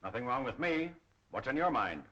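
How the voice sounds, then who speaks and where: A man's voice: medium pitch, sing-song, normal speed, sharp tone. An actor playing an anxious, earnest businessman in a 1930s film. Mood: tense, curt. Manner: earnest, nervous. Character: anxious, harried male businessman in fear. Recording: dull 1930s film soundtrack.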